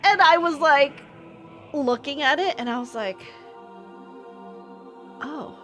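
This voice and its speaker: A woman's voice: high voice, takes it slowly, a bit bright, soft background music. A young American woman streamer telling a story in a casual, rambling way, with many fillers and restarts. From United States. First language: English.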